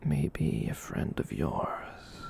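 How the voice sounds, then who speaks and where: A performer voicing a gentle, kind giant catboy in a soft breathy whisper, rambling reassuringly in an ASMR roleplay. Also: a bass voice, wildly swooping pitch, slight background noise, heavily acted. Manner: rambling, reassuring, slow with long pauses.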